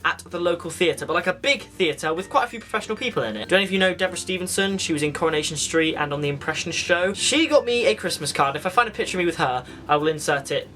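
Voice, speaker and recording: A man's voice: fairly high pitch, expressive, quick pace, few pauses, bright, forceful tone, a little hiss or hum. A teenage young man, chatting casually and enthusiastically as he tells anecdotes for a vlog. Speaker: vlogger.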